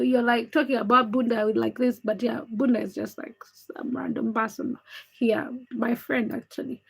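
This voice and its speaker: A young woman from Uganda, not a native English speaker, hosting a casual livestream, chatting candidly and greeting viewers by name. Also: on the high side. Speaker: livestream host. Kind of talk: casual livestream chat reading comments.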